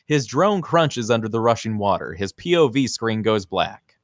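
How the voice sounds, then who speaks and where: Man reading screenplay directions, lively and swooping. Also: lowish voice, moderate pace, dry recording, studio quality. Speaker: stage-direction reader at a table read. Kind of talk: scripted screenplay narration.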